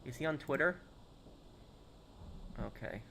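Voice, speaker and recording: A man's voice: medium pitch, animated, takes it slowly, gravelly, pushed and bright, slight background noise. A young American man streaming a game, reading viewer questions aloud and answering them casually, with frequent fillers and restarts. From United States. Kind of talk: casual live-stream chat.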